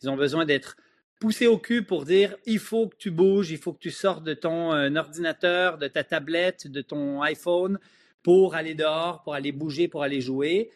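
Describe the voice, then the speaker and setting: A middle-aged man speaks French with a Quebec accent and a somewhat high-set voice. He gives opinionated, emphatic explanations in the manner of an expert guest being interviewed. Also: moderate pace. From Switzerland, moved to Quebec at ten. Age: middle-aged or older.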